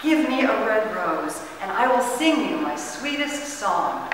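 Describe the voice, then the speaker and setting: A woman's voice: fairly high pitch, very animated, a little slow. A woman voicing a tender, romantic nightingale in an ardent, theatrical storybook manner. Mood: pleading. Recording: very echoey hall, distant handheld camera, noisy.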